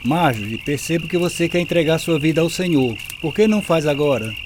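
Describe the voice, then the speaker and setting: Actor as earnest, high-voiced male preacher. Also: animated, fairly quick, noisy background, natural voice. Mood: earnest exhortation. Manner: solemn, exhorting, scripture-quoting. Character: earnest, firm male evangelical preacher counselling a girl. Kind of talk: radio drama acting.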